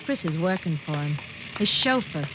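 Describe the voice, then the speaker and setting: An actress playing a hard-boiled, scheming young gold digger with a brash, slangy manner in an old-time radio detective drama. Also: female voice, sits low, expressive, moderate pace, over music. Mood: boastful, sly. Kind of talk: 1940s-50s radio detective drama acting. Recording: dull lo-fi old radio, faint noise.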